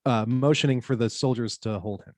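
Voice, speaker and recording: A man's voice: medium pitch, brisk, dry recording, clean studio sound. A man reading stage directions as narrator in a scripted table read.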